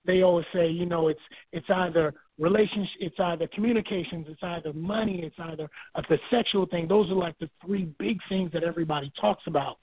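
A man from Barbados, a guest author in a phone-in interview, speaking in a measured way and repeating points for emphasis. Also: sits high, no room sound. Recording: tinny phone line, dry.

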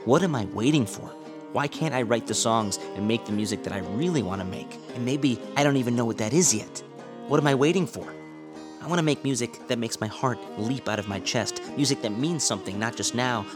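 A man's voice: medium pitch, fairly quick, over music. A man hosting a podcast, musing earnestly through rhetorical questions before he introduces a guest. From United States. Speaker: podcast host and musician. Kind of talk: reflective monologue and interview.

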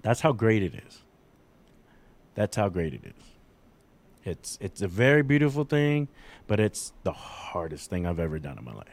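A man's voice: low voice, very animated, normal speed, pauses often. A man in his forties talking in a casual, rambling and candid way, self-deprecating about his own life. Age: 40s. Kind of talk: casual chat.

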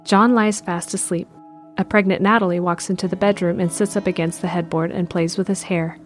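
A woman's voice: on the low side, steady tone, fairly quick. A woman reading scripted narration for an audio drama, describing the action as narrator. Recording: faint music under the voice.

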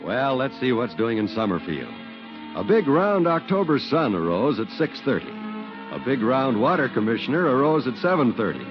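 A dull old radio recording with music behind. A male announcer reads his script in 1940s style, his pitch moving in lively swings. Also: moderate pace.

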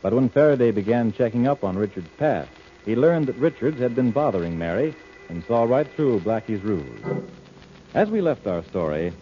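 A man's voice: medium pitch, very animated, moderate pace, faint music behind. A man narrating a story as an announcer in scripted 1940s radio style. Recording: dull old radio recording.

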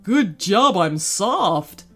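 एक महिला की आवाज़ में चंचल खिलौना डिप्लोडोकस, लहराता सुर. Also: female voice, medium pitch, sing-song, extremely slow, faint music behind, exaggerated voice. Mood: cheerful. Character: cuddly toy diplodocus, cheerful and easygoing.

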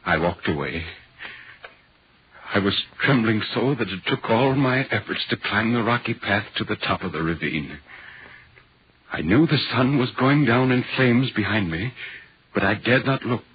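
An actor playing a grave, troubled Victorian writer, narrating solemnly and dramatically in a 1940s radio drama. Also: male voice, on the low side, expressive, normal speed, husky. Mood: shaken. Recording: muffled, hissy 1940s radio broadcast.

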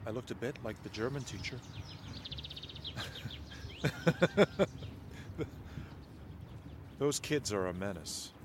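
An actor playing a kind, fussy schoolteacher husband who talks in a soothing, earnest way. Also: male voice, fairly low pitch, lively intonation, slow pace, pauses often, noisy background. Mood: chatty amused. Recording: outdoor birdsong and ambience. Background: birds, outdoor ambience.

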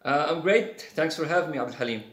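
A man, a guest in a casual interview, talking haltingly with many fillers and restarts. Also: fairly high pitch, animated, fairly bright tone, roomy sound. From Syria. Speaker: guest, CG artist.

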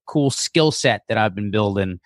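Lowish-voiced man chatting casually. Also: expressive, normal speed, no echo, studio quality. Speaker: comedian, podcast guest. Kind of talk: casual chat.

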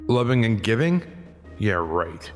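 An actor playing a weary, cynical New York police officer in an audio drama, wry and sardonic. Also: male voice, fairly low pitch, very animated, unhurried, soft background music.

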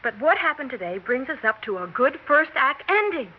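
A woman's voice: high-pitched, very animated, normal speed. An actress with an American accent playing a nervy, determined young woman playwright in a 1960s radio drama. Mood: insistent, persuasive. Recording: muffled 1960s radio recording, noticeable hiss.

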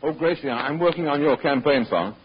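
A man's voice: fairly high pitch, fairly quick, lightly acted. A man playing a jovial, chatty campaign sidekick in a 1940s radio comedy, on a muffled, hissy old broadcast with an audience. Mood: jovial, curious. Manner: jolly, animated.

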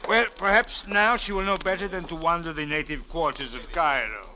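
An actor playing a patient, formal Cairo police captain in a 1940s radio drama, with precise, official delivery. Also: male voice, fairly high pitch, moderate pace, sharp tone, soft background music. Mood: questioning. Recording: old radio drama recording.

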